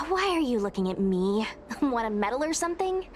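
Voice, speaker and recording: A woman's voice: a little high, sing-song, moderate pace, a little hiss or hum. A woman voicing a brash, blunt young girl in a video game, sassy and a little impatient. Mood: sassy, skeptical. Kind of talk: animated video game voice acting.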